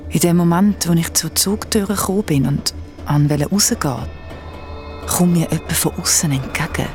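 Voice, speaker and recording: A woman's voice: on the low side, normal speed, music in the background, lightly acted. A woman with a Swiss German accent playing a shaken, insistent young traveller who narrates her ordeal in a radio drama. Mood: uneasy.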